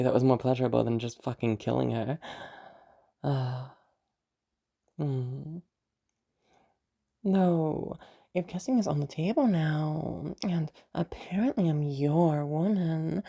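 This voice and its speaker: A woman playing a sly, teasing villainess with a menacing yet tender streak in an intimate romantic audio roleplay, pausing often with soft hums and sighs. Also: full character voice. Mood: flirtatious, teasing. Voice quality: low, husky, creaky.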